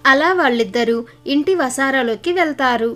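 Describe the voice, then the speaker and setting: High-voiced woman narrating a children's story. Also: moderate pace, fairly bright tone, soft background music.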